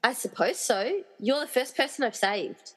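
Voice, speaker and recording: A woman's voice: high voice, animated, moderate pace. Woman playing an exasperated heroine, big echo. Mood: explaining. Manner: sarcastic, grumbling. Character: reluctant, exasperated heroine rescuing friends trapped in movies. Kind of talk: amateur comedy radio play acting. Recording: reverberant hall-like echo.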